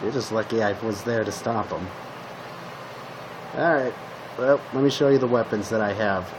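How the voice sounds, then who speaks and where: A man improvising a casual roleplay monologue as a calm zombie apocalypse survivor, talking to the listener in short, hesitant fragments. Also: on the low side, moderate pace, background noise. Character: calm male zombie apocalypse survivor giving weapon tips. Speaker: roleplay video creator playing a zombie survivor.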